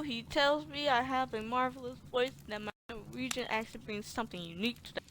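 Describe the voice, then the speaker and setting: Casting: female game streamer, higher register, informal and colloquial playthrough chatter, some background noise. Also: a bit bright, rough recording. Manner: colloquial, talks back to the game. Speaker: gaming streamer playing through a horror game. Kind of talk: casual playthrough commentary, reading game text aloud.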